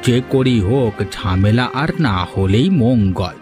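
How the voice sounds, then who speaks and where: A man's voice: fairly low pitch, a little slow, close to an everyday voice. A man voicing a cautious village husband in a cartoon, always questioning his wife's plans. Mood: doubtful. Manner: questioning, hesitant. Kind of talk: dubbed cartoon dialogue. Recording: music in the background.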